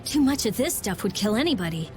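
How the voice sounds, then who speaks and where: A woman voicing a sharp-tongued, prickly teenage girl genius in a video game, curt and snappish. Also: medium pitch, very animated, fast talker, close to an everyday voice. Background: game music.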